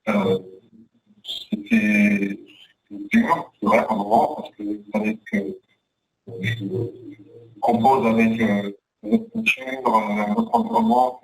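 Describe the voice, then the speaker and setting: A man speaks as a panel guest in a creaky, gravelly voice, picked up at some distance from the microphone. His delivery is halting and unscripted, with words repeated as he looks for the next phrase. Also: on the low side. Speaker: insurance network manager on a discussion panel. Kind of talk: hesitant spoken testimony about career.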